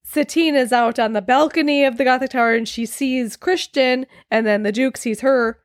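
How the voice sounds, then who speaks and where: A woman's voice: high voice, fairly even intonation, moderate pace, no room sound, clean studio sound. A woman co-hosting a casual film discussion, enthusiastic and swearing casually.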